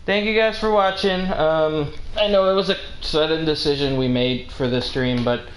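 A man hosting a casual game livestream, giggly and halting in the way he talks. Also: fairly high pitch, fairly even intonation, reverberant, a little hiss or hum, poor audio. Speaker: streamer host. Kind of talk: casual livestream chat with playful dubbing of game subtitles.

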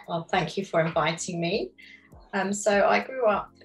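A middle-aged woman from London, answering interview questions in a measured, reflective way over a video call from her home studio. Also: medium pitch, faint music behind, poor audio. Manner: reflective, measured, with frequent ums. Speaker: guest artist. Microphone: video call webcam.

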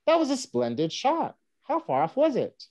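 An excitable gentleman duelist acted out by a woman exclaims in astonishment. The pitch leaps high and the delivery is stretched and theatrical, as in a play reading. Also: moderate pace, exaggerated voice. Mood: impressed.

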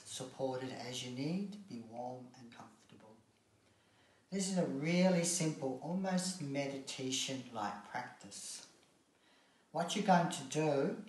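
A man leads a guided meditation in a calm, soothing way, at a middling pitch. He gives short, unhurried instructions and leaves long pauses between them. His voice comes from some distance off the mic, in a very echoey room. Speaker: yoga relaxation instructor.

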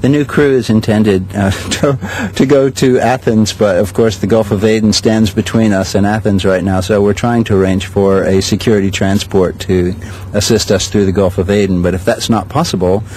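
An older man with a low, fairly flat voice speaks in a measured, unhurried way and pauses often to search for words. He answers an interviewer's questions outdoors. Faint background noise sits under his voice. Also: low-quality recording. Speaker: sailing school director. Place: outdoors at a regatta.

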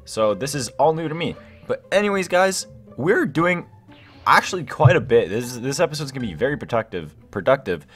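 A young man hosting a gaming video with casual, chatty, rambling commentary that gets excitable. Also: mid-range voice, fairly quick. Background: background music.

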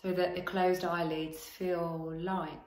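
A woman leading a guided body scan as a relaxation teacher, giving gentle instructions in short phrases with long pauses. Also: fairly low pitch, flat delivery, roomy sound.

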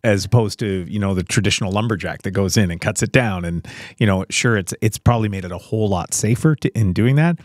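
A man's voice: fairly low pitch, quick pace, dry recording, studio quality. A man in his fifties chatting casually as a podcast host. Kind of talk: casual chat. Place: small podcast studio. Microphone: close podcast microphone on a stand.

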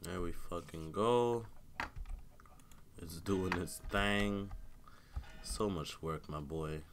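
Young guy gaming on a headset, real low voice, stuffed-up nose, bit of hiss. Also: takes it slowly. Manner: laid-back, mutters to himself, swears often. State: congested with a cold and sore throat. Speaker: gaming streamer. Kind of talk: casual live gameplay commentary. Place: home room at a computer.

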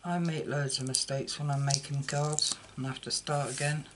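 A woman's voice: extremely low pitch, fairly even intonation, normal speed, noisy background. An older woman chatting through a card-making tutorial in a casual, self-deprecating way. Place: indoor craft table. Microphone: camera over a desk, hands only.

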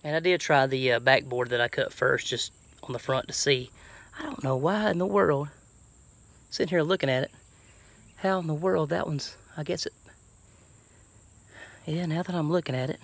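A man's voice: fairly high pitch, normal speed, gravelly, long pauses, fairly bright tone, no room sound. A middle-aged man with a Southern American accent, chatting casually and folksily as he thinks aloud through a DIY job for his vlog. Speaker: truck camping vlogger. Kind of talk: casual DIY chat to camera. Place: outdoors and inside a truck bed by an open garage. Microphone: handheld phone at arm's length.

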